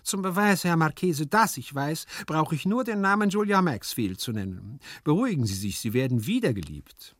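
Guy doing a skinny know-it-all servant from a storybook, voice pushed way up high, pert, always contradicting. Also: very animated, normal speed, few pauses, no room sound. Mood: sullen, knowing. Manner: pert, contradicting, mangles learned words. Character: scrawny, pert, know-it-all manservant, practical and good-natured.

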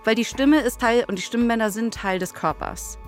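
A woman's voice: on the high side, moderate pace, soft background music. A woman co-hosting a podcast, debating in a casual but firm and insistent way. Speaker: podcast co-host and radio play author.

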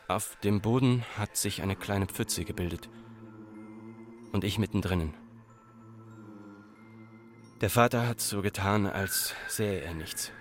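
Actor as anxious farmer's son, Austrian accent. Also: male voice, fairly low pitch, close to an everyday voice. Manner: deliberate, repetitive, dramatic storytelling. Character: anxious grown son of an obsessive farmer father. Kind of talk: literary radio drama monologue and dialogue.

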